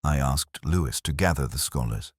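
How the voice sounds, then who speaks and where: A brooding police inspector, played by a man, narrates a detective story in an extremely low, husky voice. The delivery is measured, solemn and literary, with a lively rise and fall across phrases. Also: dry recording, clean studio sound, full character voice. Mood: watchful, grave. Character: brooding, world-weary male police inspector recounting a case. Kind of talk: scripted first-person detective narration.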